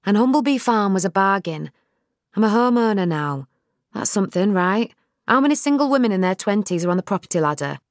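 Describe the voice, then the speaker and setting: A woman's voice: mid-range voice, animated, moderate pace, no room sound, voice pushed up, natural voice. Determined young woman, late twenties, read by the female narrator; eager and insistent, a little impatient, close and dry.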